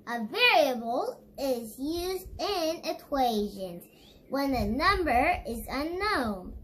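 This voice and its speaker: Slow, very high, childlike female voice. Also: bright, forceful tone, a little hiss or hum, low-quality recording. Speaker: read-along book reader. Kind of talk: read-aloud of a children's educational book.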